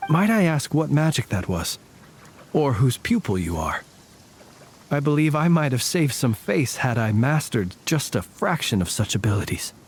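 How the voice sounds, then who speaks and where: A man's voice: mid-range voice, sing-song, moderate pace, breathy edge. An actor playing a weary, courtly young man who speaks in formal, archaic and elaborately polite phrases, in video game cutscene dialogue.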